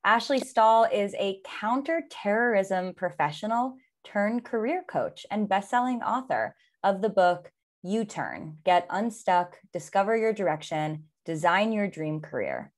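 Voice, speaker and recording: A woman's voice: mid-range voice, expressive, measured pace. A woman hosting an interview podcast, introducing her guest and asking questions eagerly. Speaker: podcast host.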